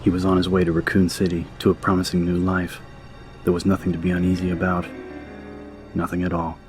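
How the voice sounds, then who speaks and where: A man reads dramatic audiobook narration in a low voice. His pitch hardly moves at all, and music plays behind him. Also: monotone, a little fast.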